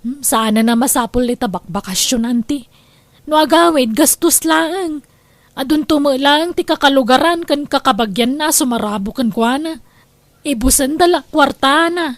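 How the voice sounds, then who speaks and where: Radio drama role, woman acting: Ilocano big sister in debt, high voice, emotional, quick to argue. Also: no echo. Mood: resentful. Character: hard-up, combative older sister deep in debt.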